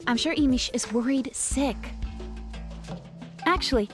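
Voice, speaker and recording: An earnest craftswoman from a fantasy game, with a slightly high voice. She explains things sincerely and gently, over faint music. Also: measured pace. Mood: warm, reflective. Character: Chevin, earnest, weary, grateful female gem artisan. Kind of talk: video game voice acting.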